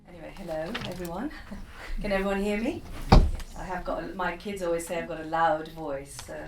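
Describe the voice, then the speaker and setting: A woman in her fifties, from East Africa, talks off the cuff with lots of ums and restarts. Her voice sits a bit low. It is picked up from a distance in an echoing room with faint noise behind. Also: fairly quick, over music. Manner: chatty, many fillers and restarts. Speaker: keynote speaker. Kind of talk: unscripted personal talk. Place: conference room.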